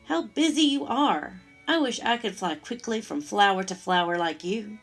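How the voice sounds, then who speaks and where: A woman's voice: mid-range voice, expressive, normal speed, faint music behind. A woman voicing a small, polite, wistful male caterpillar in a children's storybook, full of simple admiring exclamations. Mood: admiring, wishful.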